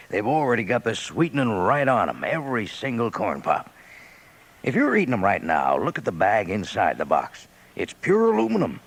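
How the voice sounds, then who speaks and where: A man playing a chummy, folksy cowboy sidekick with a gravelly voice, pitching in a radio advertisement. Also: a bit bright, heavily acted. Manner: folksy, chummy western talk. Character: Panhandle Jim, folksy old cowboy sidekick pitching cereal.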